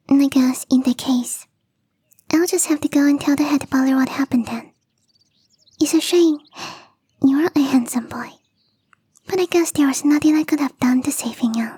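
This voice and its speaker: A woman voicing a young catgirl gardener in an ASMR roleplay, teasing, coy and playfully smug, with a breathy, whispery voice. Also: high-pitched, mellow, no room sound. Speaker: voice actress.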